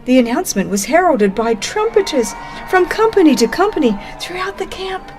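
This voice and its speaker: A woman reading a dramatized religious narration in a solemn, expressive, deliberate way. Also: high voice. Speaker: audiobook narrator. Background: music.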